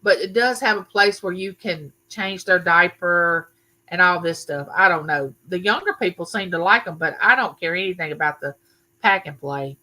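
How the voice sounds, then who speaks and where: Older woman, livestream host; loud, bright, sharp-edged voice, chatty and welcoming by name. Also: fairly low pitch, moderate pace, poor audio. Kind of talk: casual live chat with viewers. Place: home room used for filming.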